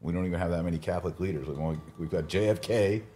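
A man's voice: low-pitched, very fast, mellow, a little hiss or hum. A man hosting a casual religious discussion, explaining his opinions emphatically and posing rhetorical questions. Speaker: podcast host.

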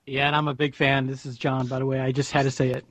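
A man's voice: medium pitch, flat delivery, fast talker. A man on a podcast panel, putting questions to guests in a casual, chatty interview style.

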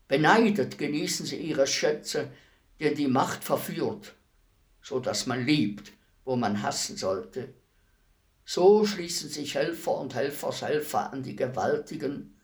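An actor playing a despised, philosophical executioner in a radio play, speaking in a solemn, deliberate, measured monologue. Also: male voice, medium pitch, close to an everyday voice. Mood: brooding, bitter. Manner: measured, grave, deliberate declamation. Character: despised executioner, calm, philosophical killer addressing his victim.

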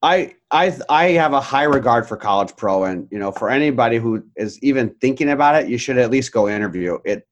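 A man's voice: medium pitch, expressive, fairly quick, few pauses. Candid middle-aged male podcast guest. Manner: candid, reflective, blunt. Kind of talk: casual interview chat.

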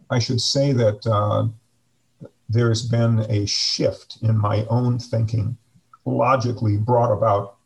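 A middle-aged man with a deep, heavily gravelly voice talks in slow, measured phrases. He pauses often with fillers as he reflects, heard through a video call microphone. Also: rough recording. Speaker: interview guest, district superintendent. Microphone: video call webcam.

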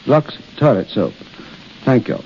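A man giving formal, measured, prepared remarks as a guest writer on a 1930s radio show. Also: medium pitch, very animated. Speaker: playwright and screenwriter guest. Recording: muffled noisy 1930s radio.

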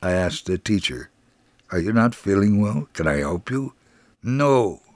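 A man's voice: lowish voice, sing-song, a little slow, darkish tone, no echo. An actor playing a devout, friendly elderly Israeli man in a dramatic first-person reading. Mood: earnest, friendly.

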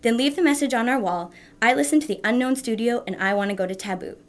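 A woman presenting a scripted segment of numbered tips, at a medium pitch. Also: quick pace.

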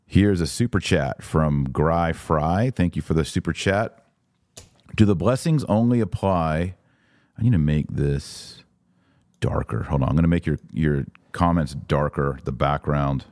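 A man's voice: very deep voice, a little slow, a little mellow, no echo, studio quality. A man hosting a live podcast, reading listener comments and giving informal, opinionated, emphatic commentary. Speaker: podcast host.

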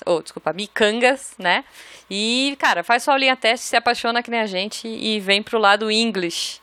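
A woman's voice: a little high, a bit bright, no room sound. A woman co-hosting a casual podcast, bubbly and full of exclamations, often laughing. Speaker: podcast co-host. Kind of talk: casual banter and testimonial.

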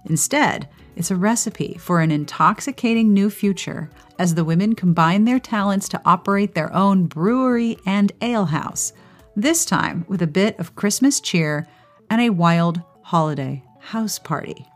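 A woman's voice: mid-range voice, expressive, moderate pace, faint music behind. A woman hosting a podcast in a chatty, playful way, reading intros and putting questions to guests. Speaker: podcast host and interviewer. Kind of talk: upbeat podcast intro, ad read and interview.

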